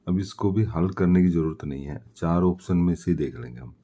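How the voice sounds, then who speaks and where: A man's voice: low-pitched, brisk, mellow, dull audio. A man teaching maths step by step, posing questions and answering them himself as he explains. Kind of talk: step-by-step worked-solution lesson.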